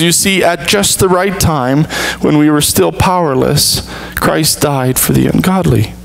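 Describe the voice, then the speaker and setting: A man in his thirties, a pastor, preaching an earnest sermon with measured, deliberate pauses from a church stage. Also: high voice, gravelly, a little hiss or hum, poor audio.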